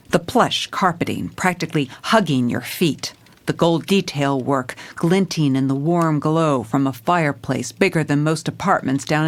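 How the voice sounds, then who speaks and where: A woman playing a jaded, hard-boiled police commissioner, narrating a noir audio drama in a dry, world-weary, stern way. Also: sits low.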